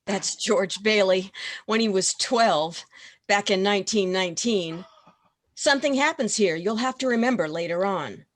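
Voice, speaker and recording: A woman playing an authoritative senior angel in a radio play, measured and matter-of-fact. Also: mid-range voice, close to an everyday voice. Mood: narrating. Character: calm, authoritative senior angel instructing an apprentice. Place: video call.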